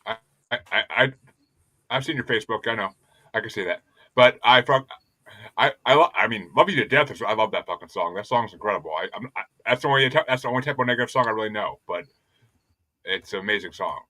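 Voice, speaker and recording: A man hosting a casual podcast, talking in a profane, animated, rambling way. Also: fairly low pitch, fast talker, dry recording. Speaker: podcast host.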